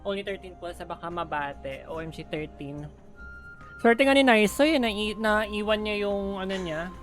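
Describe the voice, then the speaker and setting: A woman's voice: medium pitch, moderate pace. A woman with a Filipino accent chatting casually on a game livestream, switching between languages. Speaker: game streamer. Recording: game music faintly behind.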